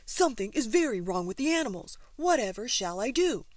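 A woman's voice: high-pitched, expressive, normal speed, a little mellow, no room sound, voice pushed up. A woman voicing a worried, flustered male zookeeper in a storybook, exclaiming in alarm.

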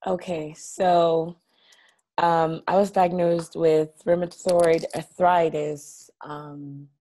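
A woman's voice: low voice, flat delivery, slow pace, mellow. A woman in her thirties from Nigeria, a guest on a video call from her living room, telling her story openly in a chatty way with small laughs. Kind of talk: casual video-call interview. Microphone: laptop webcam on a video call.

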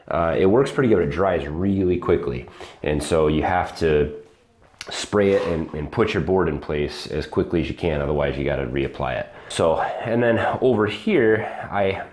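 A man in his thirties with a very low, deep voice walks through his unfinished basement project. He talks casually and haltingly, full of ums and restarts, recorded on a lapel mic indoors. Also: darkish tone. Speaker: DIY home renovation vlogger. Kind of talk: casual walkthrough explanation. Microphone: lapel mic, handheld camera.

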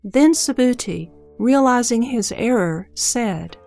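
High-voiced woman narrating scripture. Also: expressive, slow pace, gravelly, dark tone. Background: background music.

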